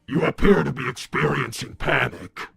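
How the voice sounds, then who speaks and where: A man voicing a cold, calculating robot villain in a formal, stilted debating manner, with a raspy voice. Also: mid-range voice, normal speed, fairly bright tone, exaggerated voice. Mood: cold, haughty. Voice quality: raspy, husky. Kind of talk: improvised in-character debate.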